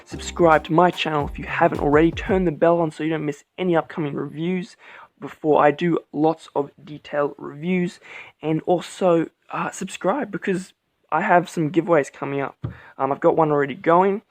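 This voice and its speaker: A man casually reviewing a deck of cards as a channel host, relaxed and rambling with many fillers. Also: a little high, moderate pace, dry recording. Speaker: playing card reviewer. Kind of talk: casual vlog product review.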